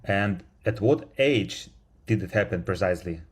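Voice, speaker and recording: A man's voice: low voice, lively intonation, normal speed, slightly rough. A man hosting a science podcast, who reads a scripted introduction and then asks questions with a few fillers. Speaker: podcast host.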